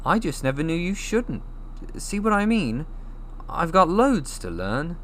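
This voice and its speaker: A man puts on a modest young boy from a storybook, new to magic. He sounds earnest and animated, his pitch leaping about. The recording carries some noise. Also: a little slow. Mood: anxious, modest.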